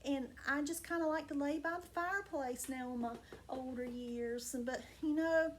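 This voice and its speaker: A woman voicing an old female cat who sounds tired and gentle, speaking softly and haltingly. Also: sits high, a little hiss or hum, natural voice. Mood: weary. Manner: soft, rambling and hesitant.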